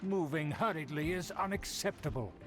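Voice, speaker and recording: An actor playing a pompous, haughty aristocrat in a video game, with formal, affected diction. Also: male voice, fairly high pitch, moderate pace, a bit bright, close to an everyday voice. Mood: lofty. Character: pompous, haughty male aristocrat of a fallen noble clan. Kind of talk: video game character dialogue. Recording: music behind the voice.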